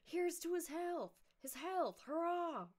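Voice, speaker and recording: A woman's voice: very high voice, lively intonation, takes it slowly, a little mellow, full character voice. A woman voicing a drunk, blustering middle-aged man in a read-aloud novel, drawn out and slurring. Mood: insistent drunk.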